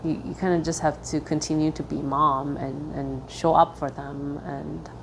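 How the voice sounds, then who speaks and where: A woman raised in Singapore, speaking thoughtfully as a guest in a personal interview and often pausing to search for the right words. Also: low voice, steady tone, creaky, slight background noise.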